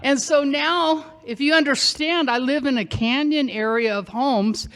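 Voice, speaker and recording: Sex: woman. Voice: high, loud, projecting. Pace: slow. Style: animated live storytelling. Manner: animated, conversational, acts out shouting and quotes. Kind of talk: live personal storytelling on stage. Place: theater stage.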